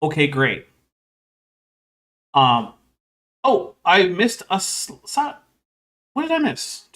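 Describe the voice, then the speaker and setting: A man's voice: sits high, huge pitch swings, slow pace, fairly bright tone. A man hosting a stream, reading super chats and commenting on a clip in a casual, wry way, filling his talk with "like" and "um". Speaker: host.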